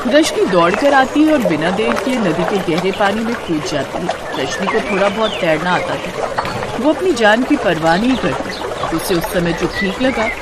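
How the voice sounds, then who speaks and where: A woman's voice: medium pitch, expressive, moderate pace, very noisy. A woman narrator reading a scripted moral story.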